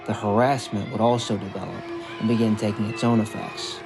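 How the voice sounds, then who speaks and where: A man reading a scripted story narration in a measured, earnest way. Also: fairly low pitch, fairly even intonation, gravelly. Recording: music bed under the narration. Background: background music.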